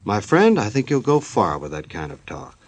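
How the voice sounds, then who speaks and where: A man's voice: on the low side, huge pitch swings, normal speed, husky. A man narrating a scripted old-time radio show as announcer, in a folksy, tongue-in-cheek manner.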